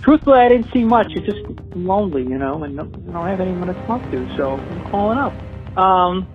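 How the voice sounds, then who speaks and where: An actor playing a lonely, bumbling tipline caller who talks in a halting, stammering way in a comic audio drama. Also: medium pitch, lightly acted. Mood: awkward. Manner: halting, stammering, deadpan anticlimaxes. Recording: muffled lo-fi phone call with music behind.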